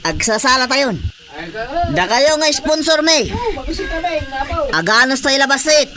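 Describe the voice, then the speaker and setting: A woman's voice: sits high, expressive, measured pace. A woman playing an exuberant, party-loving wedding celebrant in a radio comedy, emphatic and repetitive, with an Ilocano accent. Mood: festive. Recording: clear crowd sounds behind.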